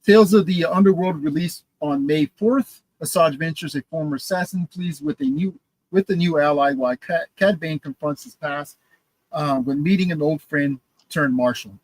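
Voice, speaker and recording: A man co-hosting a casual podcast chat and reading out news items, animated and halting, with many fillers. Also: a little high, dry recording, low-quality recording. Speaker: podcast co-host.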